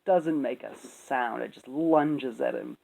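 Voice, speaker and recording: Opinionated commentator, rambling and emphatic, very animated delivery with a creaky edge. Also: medium pitch, takes it slowly. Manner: rambling, emphatic, frequent swearing. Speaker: video commentator.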